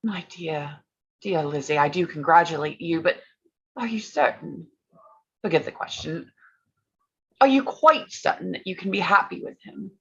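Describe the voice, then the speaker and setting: A woman playing a gentle, affectionate Regency sister in a staged reading, earnest and anxiously caring, on slightly muffled audio. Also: fairly low pitch, normal speed, vocal fry, long pauses, husky. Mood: tender concern. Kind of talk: staged reading of a period drama.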